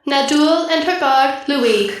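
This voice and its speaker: A woman's voice: high-pitched, expressive, takes it slowly. A woman playing a formal herald in an audio drama, proclaiming the arrivals of royalty. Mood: ceremonial. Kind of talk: ceremonial announcement in audio drama. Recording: very echoey hall announcement.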